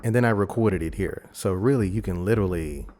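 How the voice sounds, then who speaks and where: A man presenting a software tutorial in a casual, rambling, conversational way, talking informally through each step. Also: deep voice, fairly quick. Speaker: video editing tutorial host.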